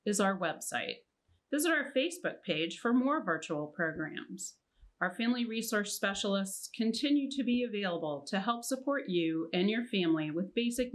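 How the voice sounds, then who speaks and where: Female coordinator reading credits and information for a family programme, mid-pitched, formal and straightforward. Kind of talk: scripted programme announcement.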